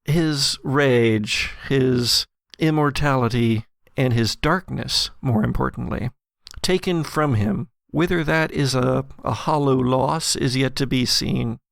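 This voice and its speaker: A man narrates as a game master with a slow, measured delivery. His voice has a gravelly creak and a dramatic storyteller's shape. The sound is dry and close. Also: medium pitch, a bit bright, no room sound. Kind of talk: narrating an actual-play audio drama, storytelling.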